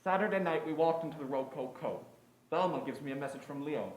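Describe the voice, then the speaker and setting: A man's voice: a little high, fairly quick, a little mellow, close to an everyday voice. A man playing a world-weary private detective on stage, with terse, slangy noir delivery. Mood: matter-of-fact. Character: hard-boiled male private detective, world-weary, lovestruck, defiant. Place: indoor stage play performance. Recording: roomy stage recording with faint noise.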